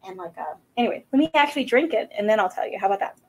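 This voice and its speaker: A woman's voice: mid-range voice, expressive, very fast, a little mellow, poor audio. Chatty woman hosting a whiskey livestream. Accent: Southern American. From South Carolina. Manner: chatty and rambling, with many fillers. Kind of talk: casual live-stream chat. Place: small home room indoors. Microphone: desk microphone on a boom arm.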